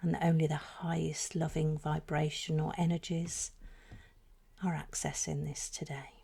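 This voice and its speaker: A woman leading a guided meditation in a soft, breathy voice that often trails toward a whisper, phrasing slowly and soothingly with deliberate pauses. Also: low voice, little pitch movement.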